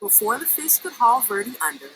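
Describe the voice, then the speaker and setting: A woman reading a children's story aloud in an expressive storytelling style. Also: high voice, brisk, slight background noise, rough recording. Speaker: story reader.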